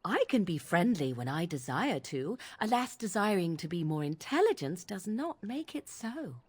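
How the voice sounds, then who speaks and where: A woman's voice: on the low side, big pitch swings, normal speed, darkish tone, breathy edge. Haughty, mocking witch with swooping delivery. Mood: sardonic. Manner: archly formal, mocking, theatrical. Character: haughty, cutting young witch with cold disdain. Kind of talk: fantasy video game dialogue.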